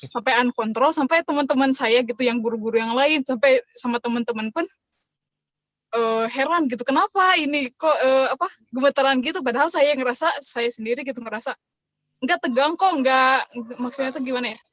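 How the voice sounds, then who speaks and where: A woman's voice: high-pitched, brisk, fairly bright tone, dry recording. A young woman from West Java, Indonesia, asking a question hesitantly over a video call, with many fillers.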